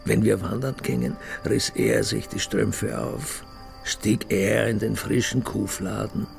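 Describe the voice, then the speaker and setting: An actor playing a stern, disappointed elderly father who reminisces bitterly and deliberately in a radio drama, with a gravelly voice. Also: fairly low pitch, fairly even intonation, faint music behind, full character voice. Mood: bitter, brooding. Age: older. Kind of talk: German radio drama monologue.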